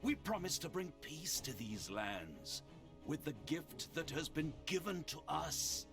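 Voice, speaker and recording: An actor playing an imperious, coldly persuasive warlord in a video game, theatrical and condescending. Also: male voice, fairly high pitch, big pitch swings, measured pace, creaky, over music, full character voice.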